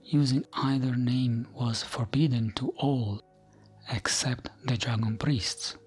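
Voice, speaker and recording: A man reading fantasy lore as a gentle, soothing, hushed ASMR narration. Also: medium pitch, fairly even intonation, slow pace, breathy edge. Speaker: narrator of gaming lore videos. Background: music.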